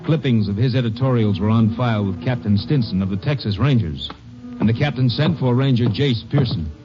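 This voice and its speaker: An actor playing a sober announcer narrating a crime case in a 1950s radio drama, on a muffled old recording. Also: male voice, mid-range voice, expressive, fairly quick, music in the background, lightly acted. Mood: grave.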